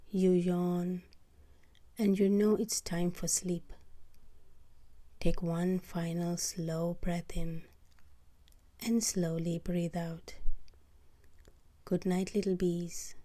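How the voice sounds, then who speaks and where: A woman narrating a guided sleep meditation for children in a soft, breathy, often half-whispered voice, soothing and gentle. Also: fairly low pitch, level tone. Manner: soothing, gentle, unhurried pauses between short phrases. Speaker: host of a children's bedtime meditation show.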